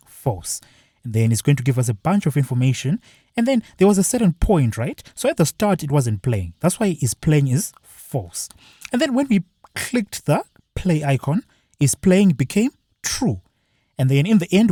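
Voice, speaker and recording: Male tutorial presenter, mellow slightly husky tone, lively pitch, step-by-step delivery, dry close sound. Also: dry recording. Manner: plain step-by-step explaining. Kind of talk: screen-share walkthrough.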